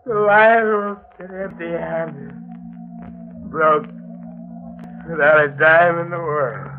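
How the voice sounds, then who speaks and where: A man's voice: fairly high pitch, fairly even intonation, takes it slowly. An actor playing a down-on-his-luck young man in a 1940s radio drama, with a slangy, hard-boiled, brooding delivery. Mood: bitter resignation. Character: down-on-his-luck, wry young male heir telling his tale. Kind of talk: 1940s radio drama acting, first-person narration. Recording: muffled vintage 1940s radio recording. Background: music.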